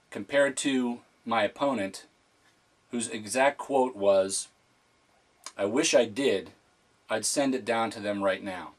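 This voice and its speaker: A man giving a prepared political speech as a candidate, in a formal, emphatic, point-by-point manner. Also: mid-range voice. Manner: formal, emphatic enumerated delivery.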